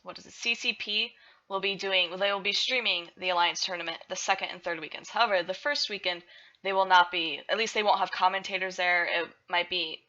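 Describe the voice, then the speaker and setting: Bright fast-talking woman host. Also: lowish voice, fairly even intonation, highs cut off. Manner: upbeat, moves the talk along. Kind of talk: casual chat.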